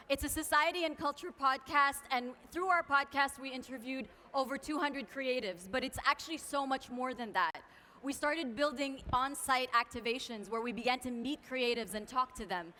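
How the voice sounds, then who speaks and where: A woman with a high, bright voice presents on stage, joking lightly before she turns emphatic. Her voice carries with a long reverb through a large hall. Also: moderate pace, slight background noise. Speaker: podcast co-founder and CEO speaking on stage. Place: event stage.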